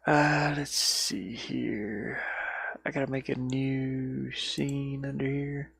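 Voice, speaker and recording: A man's voice: medium pitch, little pitch movement, slow pace, vocal fry, fairly bright tone, breathy edge, no room sound. A man in casual solo livestream chat, rambling and muttering as he thinks aloud while working, recorded inside a van. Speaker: livestreamer and indie game developer.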